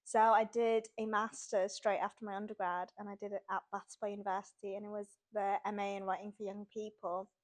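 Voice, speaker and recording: A woman's voice: medium pitch, flat delivery, brisk, few pauses. A young woman from Sweden, chatting casually as an author guest in an interview and using lots of "like" fillers.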